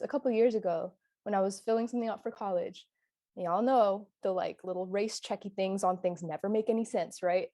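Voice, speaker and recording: A woman's voice: mid-range voice, mellow, no echo, clean studio sound. A young woman talking in a casual panel discussion, telling personal stories animatedly and laughing as she recounts them. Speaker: panel guest.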